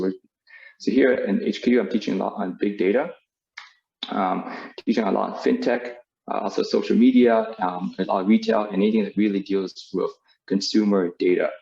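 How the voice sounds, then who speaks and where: Gravelly-voiced man with halting delivery. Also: on the low side, a little mellow. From born in Vietnam, raised in the United States. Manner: hesitant, many fillers and restarts. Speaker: business school professor giving a webinar talk. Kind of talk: presentation over a video call. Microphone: video call microphone. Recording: dull lo-fi video call audio.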